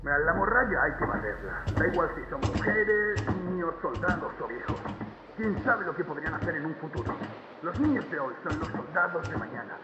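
An actor from Spain with a Castilian accent playing a callous, fanatical wartime naval officer in an audio drama, cold and contemptuous. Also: male voice, a little high, very animated, normal speed, muffled recording. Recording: reverberant echoey room, loud noise.

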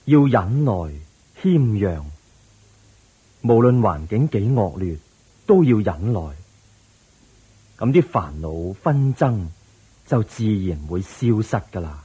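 An actor playing a serene, wise Buddha in a Cantonese radio drama, teaching patiently and solemnly with a Hong Kong accent in drawn-out phrases. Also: male voice, fairly low pitch, big pitch swings, dark tone, husky, exaggerated voice.

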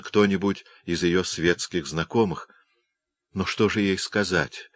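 A man narrating a literary novel as an audiobook, reading in a measured, even way. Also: low voice.

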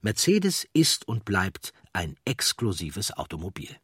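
A man reading scripted documentary narration in a measured, deliberate way. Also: deep voice, huge pitch swings, fairly bright tone, breathy edge, dry recording, clean studio sound.

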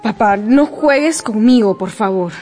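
Actress as an earnest young woman, slow and soft-toned. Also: medium pitch, animated, faint music behind, close to an everyday voice. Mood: flustered, protesting. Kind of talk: Spanish-language radio drama acting.

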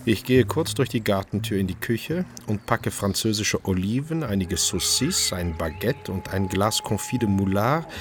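A man's voice: lowish voice, lively intonation, moderate pace, hardly any pauses, a little hiss or hum, close to an everyday voice. An actor playing a male restaurant chef who narrates his own crime story in a wry, observant way, in a radio drama. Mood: wry, reflective. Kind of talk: German radio drama, first-person narration and dialogue.

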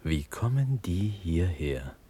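An actor playing a fragile, rapt young prince in a classical verse radio drama, speaking in halting, breathy swells. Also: male voice, deep voice, very animated, exaggerated voice. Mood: dreamy, entranced. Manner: hushed, breathy, halting declamation with sudden swells. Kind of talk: classical German stage-verse radio acting.

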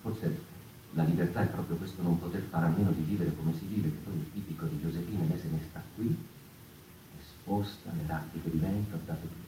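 An actor in his fifties playing a reflective, impassioned stage performer in a halting theatrical monologue that repeats words and phrases. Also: sits low, steady tone, warm tone, natural voice. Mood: hushed, reflective. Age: 50s. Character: impassioned fifty-year-old stage performer musing on freedom. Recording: echoey, distant, noisy stage room.

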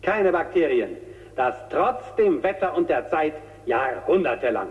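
A man's voice: on the high side, very animated, a little slow, long reverb tail. A man playing a gushing television entertainer in a radio drama, savoring and repeating words like a showman, in a tinny recording. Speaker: TV presenter in a drama.

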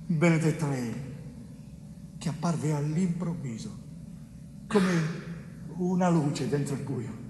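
Tormented Sicilian man, echoing hall. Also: a little high. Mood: tender, confiding. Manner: halting, repetitive, emphatic, with dramatic pauses. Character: wrongly convicted Sicilian bricklayer, tormented, tender, pleading with a judge. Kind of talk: theatrical stage monologue. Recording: echoey hall, distant mic, faint noise.

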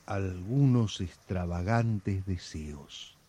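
A man playing the wry, suggestive storyteller of a radio serial, with theatrical, measured scripted narration. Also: low-pitched, expressive, mellow, breathy edge, exaggerated voice. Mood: wry, dramatic.

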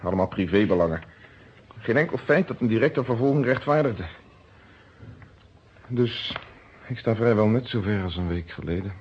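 An actor in a Dutch radio detective drama playing a weary, principled police inspector with an earnest, conversational delivery. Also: male voice, fairly low pitch, animated, moderate pace, pauses often, a little hiss or hum. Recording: muffled old radio play recording.

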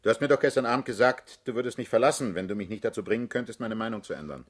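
A man's voice: mid-range voice, rapid-fire, lightly acted. An actor in a radio play, playing a middle-aged political leader who is fatherly, self-assured and mocking, with a persuasive, commanding manner. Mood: probing, casual. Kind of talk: German radio play acting.

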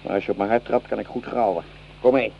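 A coarse, sarcastic foot soldier grumbles and mocks with a hot temper. His voice is gravelly and emotional, in a medium register. The old radio play recording is dull, with faint noise. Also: on the low side, fast. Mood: rough, jovial. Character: cynical, hot-tempered French common soldier, grumbling and mocking. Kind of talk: Dutch radio play acting.

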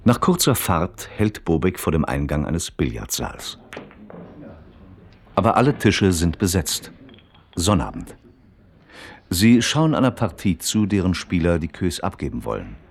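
Extremely deep male radio play narrator. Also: sing-song, measured pace, long pauses, a little hiss or hum. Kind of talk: scripted narration, documentary-like report. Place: dry studio.

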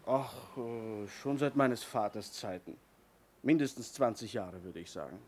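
A man's voice: lowish voice, unhurried, a little mellow, a little hiss or hum, lightly acted. An actor playing a thrifty, self-assured male business owner in a 1970s radio play, offhand and slightly defensive.

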